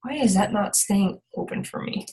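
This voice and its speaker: A woman's voice: medium pitch, moderate pace, warm tone. A woman leading an informal motivational team training call, rambling a little and often checking in with her listeners as she encourages them. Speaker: team leader and coach hosting a call.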